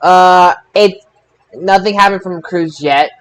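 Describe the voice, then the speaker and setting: A man co-hosting a podcast in casual chat, with a halting, tentative delivery. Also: high voice, poor audio. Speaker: podcast co-host. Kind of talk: casual wrestling review chat.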